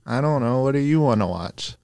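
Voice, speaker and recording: Man acting a terse, contrary friend, clear voice. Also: mid-range voice, animated, a little fast, a little mellow, no room sound, studio quality, natural voice. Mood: reluctant. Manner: terse, negative. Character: indecisive, contrary man who rejects every suggestion. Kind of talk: scripted comic sketch.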